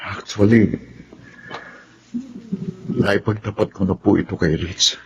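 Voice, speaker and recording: An actor playing a troubled young Filipino lawyer in a radio drama, hesitant and confessional. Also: male voice, on the low side, steady tone, slow, dull audio, a little hiss or hum. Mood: reluctant, respectful. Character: troubled, soft-spoken young male lawyer with a secret. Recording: music behind the voice.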